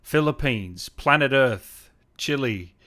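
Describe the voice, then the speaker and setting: A man's voice: medium pitch, lively intonation, takes it slowly, sharp tone. Male host reading out viewer locations. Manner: reads out viewer locations, friendly interjections. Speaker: podcast host. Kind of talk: live-stream host chat.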